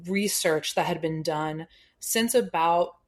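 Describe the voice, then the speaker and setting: A woman's voice: on the low side, slow pace. A young adult American woman, a podcast guest, explaining things thoughtfully with some fillers. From United States. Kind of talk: conversational interview.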